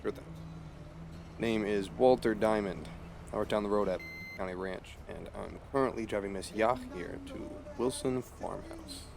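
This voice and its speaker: An actor playing a folksy, blunt, gossipy small-town ranch hand with a gravelly voice in a radio play. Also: male voice, lowish voice, moderate pace. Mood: friendly, chatty. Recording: noisy vehicle ambience.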